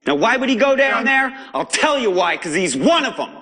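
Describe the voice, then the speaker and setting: A man's voice: very high-pitched, big pitch swings, moderate pace, sharp tone, full character voice. A man with an American accent voicing a fiery, angry and defiant underground broadcaster in a video game, speaking in an emphatic, streetwise, preachy way. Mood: suspicious, indignant. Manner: emphatic, streetwise, preachy, swings between outrage and gravity. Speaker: pirate broadcaster. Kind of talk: video game voice acting, pirate broadcast monologue.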